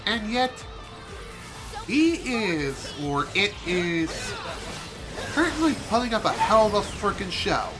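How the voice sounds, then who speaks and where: A man streaming a video game, chatting casually and rambling with self-amused asides, his voice a little hoarse from allergies. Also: high-pitched, big pitch swings, takes it slowly, long pauses. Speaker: gaming streamer. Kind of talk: casual let's play commentary. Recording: game music behind voice. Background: game music.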